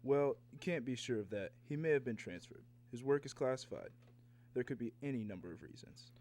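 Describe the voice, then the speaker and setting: Man playing a hesitant, placating official, medium pitch. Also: expressive, fairly quick, darkish tone, close to an everyday voice. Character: cautious, placating official calming a worried relative. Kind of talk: radio drama acting.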